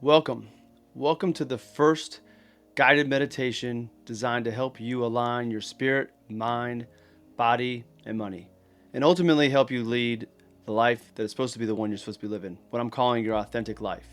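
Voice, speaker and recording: Male meditation guide, medium pitch, gentle instruction, unhurried pauses, encouraging, plain-spoken, faint guitar music behind. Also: fairly even intonation.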